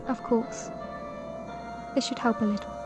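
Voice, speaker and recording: A woman playing a kind, proper young lady caring for a sick gentleman in a period audio drama, polite and solicitous. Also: fairly high pitch, fairly even intonation, unhurried, over loud music, natural voice.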